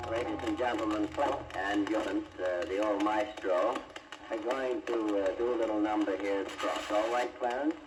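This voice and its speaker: A man's voice: fairly low pitch, steady tone, normal speed, roomy sound, recorded from a distance, low-quality recording. A man making an informal, hesitant radio introduction of a performance on a worn 1930s disc recording.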